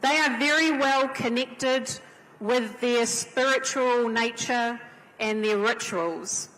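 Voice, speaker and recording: A woman with a New Zealand accent speaks slowly and earnestly on a conference panel. Her voice sits a little high. Long reverb from a large hall trails every phrase. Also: a bit bright. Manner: measured, earnest, drawn-out delivery. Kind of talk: formal speech on a panel.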